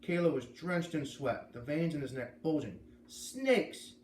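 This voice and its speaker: A man reading a storybook aloud as expressive narration. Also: on the high side, moderate pace. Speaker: storybook reader. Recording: echoey room, faint background noise.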